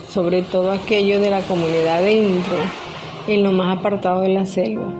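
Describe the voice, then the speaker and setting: An older woman tells her own story as a voice-over, calm and measured, from the Venezuelan Amazon. Her pitch sits in a plain middle register. The recording is a little dull and lo-fi, with music clearly playing beneath her. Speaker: retired nurse. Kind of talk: scripted first-person narration.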